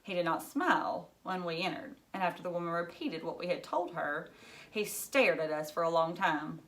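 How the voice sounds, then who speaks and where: A woman narrating a children's novel aloud in an expressive read-aloud style. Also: lowish voice, normal speed.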